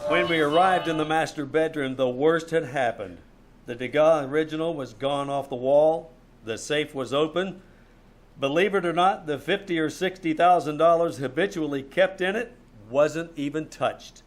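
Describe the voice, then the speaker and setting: A man playing a wry, wisecracking private detective, narrating deadpan in live old-time radio style. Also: on the high side, moderate pace, natural voice. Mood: wry storytelling. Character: wry, dry-witted male private detective narrating his case. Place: small-town theater stage, live performance.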